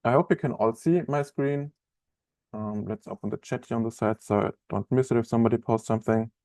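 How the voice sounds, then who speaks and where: German man with a dark voice. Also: medium pitch, a little fast, dry recording, studio quality. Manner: friendly, chatty, many fillers and restarts. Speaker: webinar trainer. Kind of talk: live software training webinar. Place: office room, indoors.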